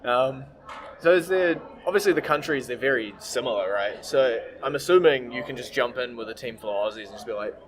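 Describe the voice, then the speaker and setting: A man from New Zealand with a New Zealand accent, interviewing a player in a chatty way, often pausing on fillers. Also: mid-range voice, animated. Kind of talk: casual interview.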